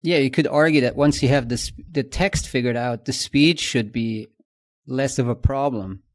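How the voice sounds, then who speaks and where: A man's voice: mid-range voice, moderate pace. A man with a Swiss German accent, co-hosting a podcast and thinking aloud in casual industry chat, with many fillers and restarts. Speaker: podcast co-host.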